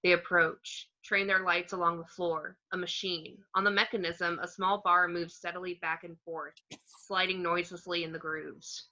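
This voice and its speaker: A woman reading screenplay action descriptions as narration, in a plain, measured way. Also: on the low side, level tone, bright, forceful tone. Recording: slightly dull home microphone.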